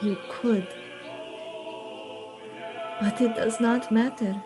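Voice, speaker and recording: A woman acting in a gothic radio drama as a mysterious, intense young lady, speaking in slow, formal period diction. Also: fairly high pitch, steady tone. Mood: brooding tenderness. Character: mysterious intense young woman, secretly ancient, possessive in love. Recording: music behind the voice.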